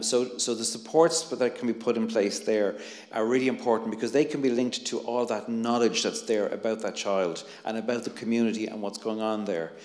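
A middle-aged Irish man on a conference panel, earnestly talking on a handheld mic with many restarts and fillers. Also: lowish voice. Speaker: panelist, anti-bullying researcher. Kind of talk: panel discussion. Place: conference hall, seated on stage. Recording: echoey hall with long reverb.

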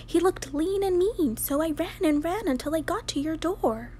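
Woman as little boy goat, very high bleating voice, scared, urgent, storybook, noisy recording. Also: lively intonation, normal speed, dark tone. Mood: frightened, urgent. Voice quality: tiny, bleating voice. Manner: urgent, whimpering. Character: tiny frightened young male billy goat. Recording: home recording with clear background noise.

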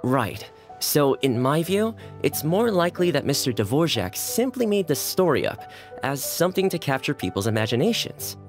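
An easygoing young man, with a mid-pitched, gently friendly voice that moves a lot in pitch. He sounds like a game character with faint music behind him. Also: big pitch swings, moderate pace. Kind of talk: video game voice acting.

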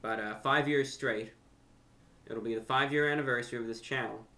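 A young man talking unscripted to camera as a host, with deliberate, slightly formal phrasing, pausing and repeating words. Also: medium pitch, steady tone, pushed and bright. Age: young adult. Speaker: YouTube host and amateur radio broadcaster. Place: furnished room at home, indoors.

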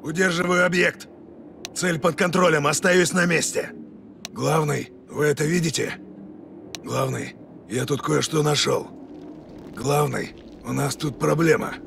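A man voicing a gruff, commanding special forces soldier giving terse, urgent radio commands in a video game. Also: a little high, fairly even intonation, unhurried, pauses often, noisy background. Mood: calm, low. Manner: terse, urgent, barked military callouts.

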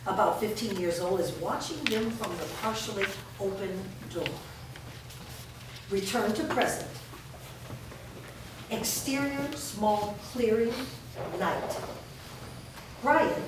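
Female stage directions reader: mid-pitched scripted narration, heavy room echo, noisy recording. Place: bare indoor room with small audience. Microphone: far camera. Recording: echoey room, distant mic, noisy.

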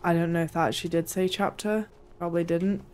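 A woman's voice: fairly low pitch, fairly even intonation, quick pace, darkish tone, soft background music. Young woman streamer muttering through a game. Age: young adult. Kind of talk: casual gameplay commentary, reacting aloud. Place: small home room.

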